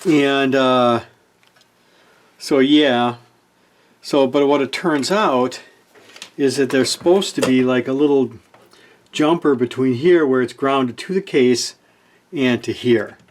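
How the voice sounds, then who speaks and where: A man's voice: medium pitch, slight background noise. A man giving casual, unscripted narration of hands-on repair work, thinking aloud in laid-back phrasing, often trailing off and correcting himself. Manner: halting, trailing off and self-correcting mid-thought. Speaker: host of a repair channel, hobbyist radio restorer.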